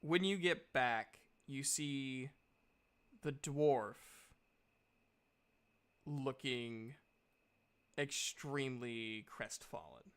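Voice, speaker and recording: A man narrating a tabletop game as game master, improvising in halting phrases with pauses mid-sentence. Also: mid-range voice, a bit bright, smooth. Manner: halting, pausing mid-sentence to compose descriptions.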